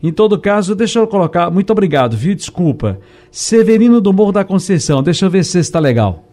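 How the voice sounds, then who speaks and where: A man hosting a radio call-in show, friendly and brisk, reading listeners' questions and greeting callers. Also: sits high, sing-song, warm tone. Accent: Brazilian Portuguese.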